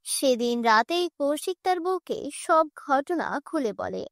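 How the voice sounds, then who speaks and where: A woman's voice: sits high, sing-song, a little slow, fairly bright tone, no echo, clean studio sound. A woman narrating a scripted folk horror cartoon story as its storyteller.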